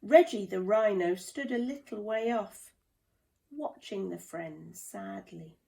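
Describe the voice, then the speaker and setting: A woman reading a children's storybook aloud in a deliberate, dramatic storytelling manner. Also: mid-range voice, gravelly, warm tone. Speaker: play therapist reading a children's book. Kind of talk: expressive children's storybook narration.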